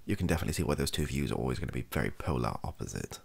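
Very deep, gravelly, soft-spoken young man. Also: animated, racing. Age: young adult. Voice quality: husky, gravelly. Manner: hesitant, self-correcting, wry. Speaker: ASMR comedy video creator. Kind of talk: improvised soft-spoken rambling to camera. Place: small home bedroom office.

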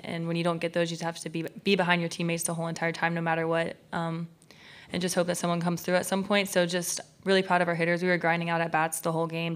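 A young woman, a college softball player, answering press questions steadily with some filler ums into a table mic. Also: lowish voice. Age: young adult. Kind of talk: postgame press conference answers. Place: press conference room.

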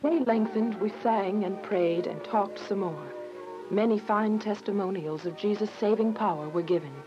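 A woman's voice: mid-range voice, normal speed, close to an everyday voice. An actress playing a devout young woman on the run, narrating her story earnestly in a radio drama. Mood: grateful, reflective. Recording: dull lo-fi radio drama, music behind.

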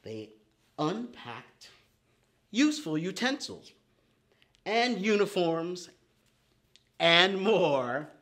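A middle-aged man hosting a preschool storytime, teaching and reading in a theatrical, drawn-out way with gasps and dramatic pauses. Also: sits high, sing-song, a bit bright. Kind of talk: children's storytime lesson with expressive poem reading. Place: indoor set with painted forest backdrop.

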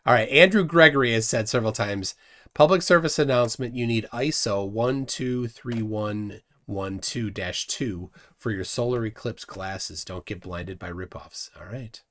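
A middle-aged man hosting a casual livestream from a room at home, scornful and animated, often trailing off quietly. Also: on the low side, moderate pace. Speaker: host.

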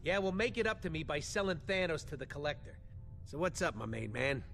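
A man's voice: a little high, sing-song, a little fast, vocal fry, a bit bright, soft background music, full character voice. An actor playing a wisecracking, grumpy adult male raccoon mechanic in a video game, talking in a brash, sarcastic way.

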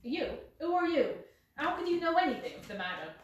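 A woman's voice: high voice, lively intonation, moderate pace. A woman voicing a nervous, rat-faced little man who whines and quavers, recorded from a distance in a roomy space with faint hiss. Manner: quavering, whining.

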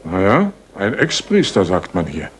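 A wary village innkeeper in a 1980s radio drama. The voice is fairly low, deferential and confiding, as if reluctant to gossip. The old recording carries some noise. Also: male voice, huge pitch swings, unhurried, full character voice. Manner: hesitant, confiding, polite. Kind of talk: German radio play acting, 1980s.

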